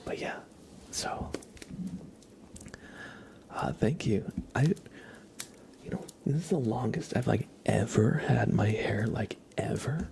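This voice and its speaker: A young man talks casually to viewers in a soft ASMR style. His delivery is relaxed and halting, and his voice often drops to a whisper. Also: medium pitch, gravelly. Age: young adult. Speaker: ASMR livestreamer chatting with viewers.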